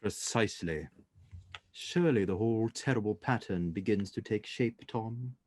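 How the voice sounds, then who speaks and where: Mellow, commanding gentleman detective played by a man. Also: lowish voice, no echo, clean studio sound, lightly acted. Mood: grave, deductive. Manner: formal, measured, assured. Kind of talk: radio drama acting.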